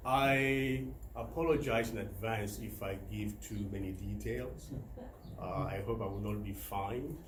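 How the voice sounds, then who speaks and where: Slow, hesitant man in an echoey room. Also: on the low side. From Democratic Republic of Congo. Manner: hesitant, many filler sounds. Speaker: club member giving a talk. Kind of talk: prepared personal talk to a club. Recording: roomy hall with faint ambient noise.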